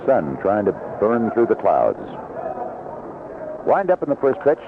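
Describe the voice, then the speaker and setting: A man's voice: on the low side, huge pitch swings, unhurried. A North American man calling baseball play-by-play as a live radio announcer. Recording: tinny, noisy vintage AM radio broadcast.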